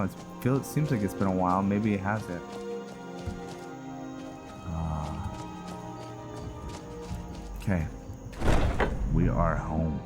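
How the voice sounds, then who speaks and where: A man with a deep voice commentates casually on a roleplaying game. He talks slowly and mutters his thoughts aloud, with loud music playing behind him. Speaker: gameplay host.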